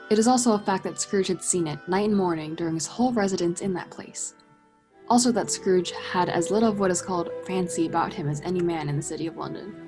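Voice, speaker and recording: A woman narrating a radio play as a scripted story, husky and measured with dramatic delivery. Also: lowish voice.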